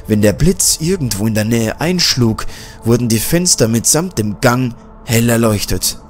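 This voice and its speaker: A man narrating a self-written horror audiobook in a hushed, suspenseful way. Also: mid-range voice, expressive, moderate pace, soft background music.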